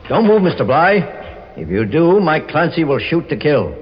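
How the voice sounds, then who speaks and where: An actor playing a shrewd, commanding private detective who explains and accuses with authority in a 1940s radio drama. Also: male voice, on the high side, very animated, unhurried, noisy background. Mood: accusing. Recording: muffled, reverberant old radio recording.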